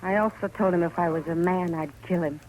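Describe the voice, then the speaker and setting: A woman's voice: on the low side, brisk, a little mellow, close to an everyday voice. An American actress playing a frank, unafraid frontier woman, direct and tough, in 1950s radio drama style. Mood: defiant. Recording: noisy old radio recording.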